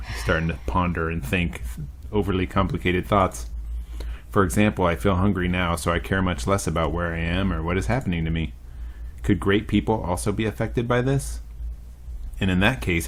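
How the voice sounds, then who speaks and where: A man's voice: low voice, a little hiss or hum. A young man reading a visual novel aloud as a let's play host, with dry, joking asides.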